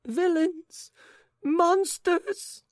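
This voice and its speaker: A man's voice: very high voice, very slow, no echo, clean studio sound, pitched above the performer's own voice, heavily acted. A man reading an audiobook, voicing a distraught lady who shrieks and cries in frantic bursts. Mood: frantic shrieking. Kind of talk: audiobook character voice.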